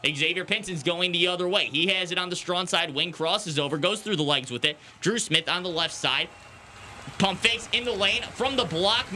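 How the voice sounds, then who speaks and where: A man's voice: on the high side, bright, forceful tone, slight background noise. A young man delivering sports news and live play-by-play commentary as an anchor and commentator. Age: young adult.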